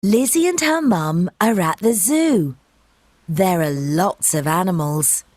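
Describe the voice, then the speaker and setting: A woman reading a scripted storybook for young learners, with deliberate, simple phrasing. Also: lowish voice, animated, a little mellow, dry recording. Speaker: audiobook narrator for young learners. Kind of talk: scripted children's storybook narration.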